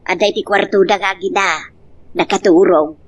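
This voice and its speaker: A woman acting an emotional character in an Ilocano romance drama, with an Ilocano Filipino accent and an expressive, melodramatic delivery. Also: mid-range voice, moderate pace, natural voice. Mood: anxious.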